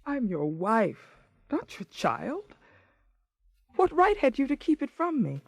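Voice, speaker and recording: A woman's voice: sits high, huge pitch swings, takes it slowly, no echo, studio quality, heavily acted. An actress playing a hurt, assertive wife confronting her husband in a radio drama, pointed and moving between sarcasm and earnestness. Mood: hurt, insistent. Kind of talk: 1980s radio drama acting.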